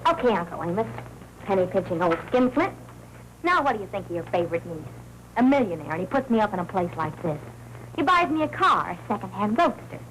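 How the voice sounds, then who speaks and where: An American actress playing a scheming, defiant young wife in a 1940s noir film, with an old soundtrack sound. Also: female voice, mid-range voice, very animated, brisk, fairly bright tone, slight background noise, low-quality recording. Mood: greedy, excited.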